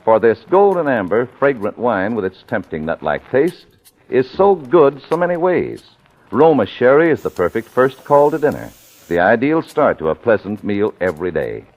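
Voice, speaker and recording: A man's voice: medium pitch, sing-song, moderate pace, a little mellow. An American man announcing in scripted 1940s radio style, a sponsor's spokesman with polished, emphatic salesmanship. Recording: old radio recording, faint music behind.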